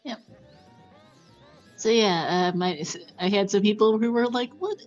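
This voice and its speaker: A woman commentating a race stream in a relaxed, chatty way. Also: mid-range voice, a little slow, lo-fi sound, faint music behind.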